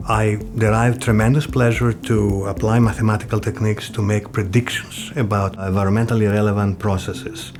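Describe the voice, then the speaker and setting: A middle-aged man with a low voice and a Greek accent speaks as a professor explaining his work. Music plays clearly behind him. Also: flat delivery, normal speed, few pauses. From Greece. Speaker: engineering professor. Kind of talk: explanatory interview talk about own research. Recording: music bed under the voice. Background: background music.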